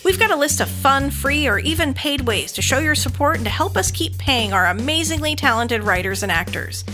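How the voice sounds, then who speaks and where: Animated, bright-voiced woman hosting. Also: high voice, brisk, faint music behind. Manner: giggly, effusive. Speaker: podcast host. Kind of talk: casual interview chat.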